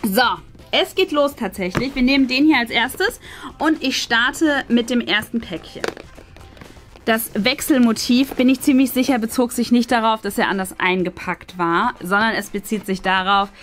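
High-voiced woman chatting. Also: moderate pace. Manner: chatty, presenting items while handling them. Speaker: host of a craft and unboxing channel. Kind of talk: casual unboxing chat to camera. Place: small decorated room indoors. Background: background music.

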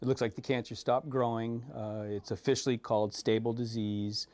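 A man, speaking plainly and haltingly as a doctor in an interview. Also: medium pitch, level tone, a little mellow. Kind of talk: unscripted interview talk.

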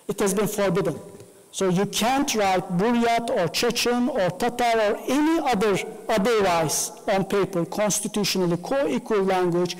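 A man's voice: sits high, moderate pace, in a large hall, rough recording. A man whose first language is Turkish, giving an academic lecture at a lectern with many fillers. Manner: gestures while talking, frequent fillers. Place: lecture room. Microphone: lectern mic, far camera.

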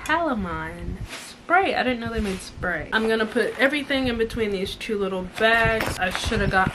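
A young woman casually showing off a shopping haul from her couch, chatting in a relaxed way and listing items with asides. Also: on the high side, normal speed, background noise. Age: young adult. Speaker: vlogger. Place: living room, on a couch indoors.

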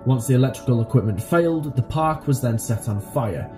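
A man's voice: mid-range voice, expressive, a little fast. Male narrator, mid-range, scripted analog horror documentary read, reverberant, with very prominent music underneath. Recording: echoey voice-over under loud music. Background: loud music.

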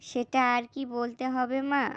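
Woman as devoted young daughter, high-pitched, determined, reassuring, cartoon dialogue, dry sound. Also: flat delivery, unhurried, fairly bright tone, smooth, no echo, dull audio.

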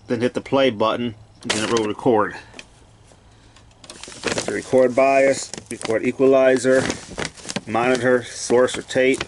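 A man with a mid-pitched voice demonstrates equipment in a casual, hands-on way. He speaks in terse, clipped phrases and keeps it matter-of-fact. It is recorded at home on a handheld camera. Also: background noise. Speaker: gadget demonstrator. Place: indoors, cluttered home room.

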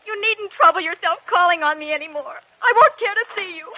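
A woman's voice: very high voice, a little fast. An actress playing a wounded, indignant young woman in a radio drama, scolding dramatically. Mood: hurt, indignant. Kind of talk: old-time radio drama acting. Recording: dull vintage radio recording.

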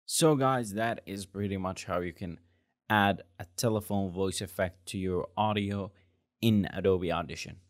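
A man in his twenties narrating a software tutorial as its host, explaining step by step. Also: low-pitched, a little slow, no room sound, studio quality. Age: 20s.

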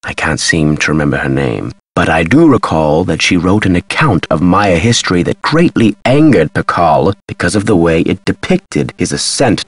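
An actor playing an eccentric, agitated scholar with memory loss in a video game, speaking theatrically with a husky voice. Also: male voice, deep voice, no echo, heavily acted. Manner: theatrical, emphatic, swinging delivery. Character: eccentric, agitated male amnesiac Maya scholar.

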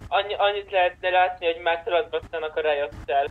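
Higher-pitched man in gaming banter. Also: phone-quality sound. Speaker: roleplay game player. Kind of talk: casual in-game banter. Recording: faint game noise with sirens.

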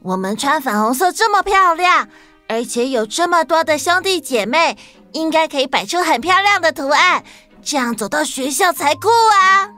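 A woman's voice: high-pitched, lively intonation, normal speed, bright, forceful tone, faint music behind, voice pushed up. A woman voicing an eager, inventive young ant child in a children's story. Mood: eager, proud.

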